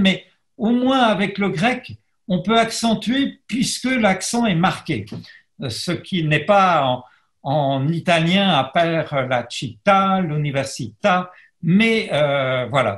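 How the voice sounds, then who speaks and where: Slow, hesitant man lecturing. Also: sits high, reverberant. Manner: hesitant, frequent pauses and restarts; self-deprecating about his Latin pronunciation. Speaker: historian lecturing. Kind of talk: academic lecture, reading translations. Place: lecture setup facing a camera, roomy.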